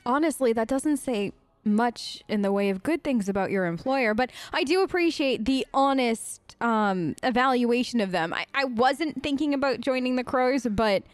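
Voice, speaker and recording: High, animated woman playing games. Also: moderate pace, no room sound, soft background music. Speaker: gamer doing a role-play let's play. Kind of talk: casual playful gameplay commentary, speaking in character.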